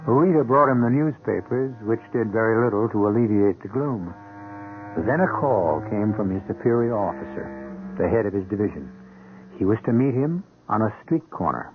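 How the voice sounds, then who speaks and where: A man narrating a radio drama as its host, in a measured, solemn, scripted style. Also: fairly low pitch, expressive, background noise. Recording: muffled band-limited radio recording. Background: music.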